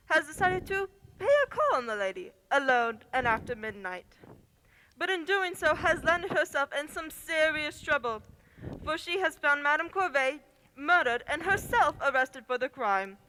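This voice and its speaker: A woman narrating a radio play as its announcer. Her voice is high and bright, ringing with long reverb. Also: big pitch swings, moderate pace. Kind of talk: scripted story narration. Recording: long hall reverb, faint noise and clicks.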